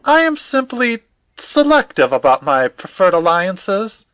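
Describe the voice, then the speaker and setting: A man's voice: sits high, very animated, unhurried, fairly bright tone, clean tone, dry recording, muffled recording, full character voice. A man playing a formal, fussy academic in an audio drama, precise and wordy, with old-fashioned politeness. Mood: formal, measured. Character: formal, fussy, courteous male academic investigator.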